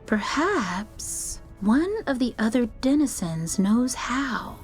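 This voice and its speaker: Friendly young female wizard, video game, chatty, lively intonation, light music behind. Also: a little high, takes it slowly. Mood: relieved, chatty. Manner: expressive, chatty, earnest. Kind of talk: video game character acting.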